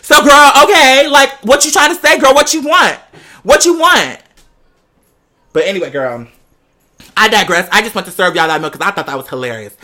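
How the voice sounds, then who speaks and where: Young american vlogger, bright punchy voice, super sassy and slangy, repeats stuff for effect, chatty gossip storytime. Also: mid-range voice, moderate pace. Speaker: vlogger addressing patrons.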